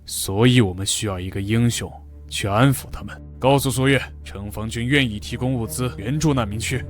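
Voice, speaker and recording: Cold, scheming military commander played by a man, mid-pitched. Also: normal speed, natural voice. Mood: cold, calculating. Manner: authoritative, calculating. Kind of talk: dramatic voice acting for animated comic. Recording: music behind the voice. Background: dramatic music.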